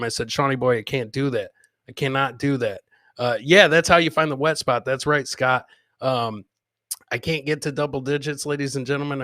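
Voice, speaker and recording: A man's voice: mid-range voice, fairly bright tone, no room sound, studio quality. A man hosting a live show, chatting casually and slangily with his viewers and often repeating himself. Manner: slangy, repeats himself, addresses listeners directly. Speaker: podcast host.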